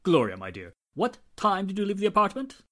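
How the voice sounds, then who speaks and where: Pompous, wildly expressive theatrical actor. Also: male voice, high voice, a little fast, heavily acted. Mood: insistent. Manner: pompous, formal. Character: haughty, theatrical male stage actor, indignant. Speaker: actor in a radio drama. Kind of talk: 1940s radio acting.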